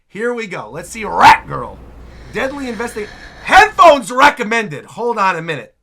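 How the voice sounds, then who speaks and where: A man's voice: high voice, sing-song, moderate pace, creaky, heavy background noise. An American man hosting a reaction video with casual, exclamatory, jokey commentary. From United States, Midwest. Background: trailer audio and music.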